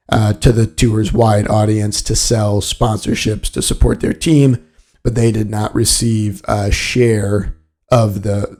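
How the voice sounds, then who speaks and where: A man's voice: lowish voice, darkish tone. A man hosting a solo sports business podcast, explaining things in a matter-of-fact way. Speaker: podcast host.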